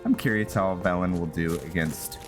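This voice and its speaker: Low-voiced man doing casual game commentary. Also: moderate pace. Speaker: game streamer narrating his roleplay playthrough. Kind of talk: casual gameplay commentary with some in-character lines. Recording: game music behind the voice. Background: video game music.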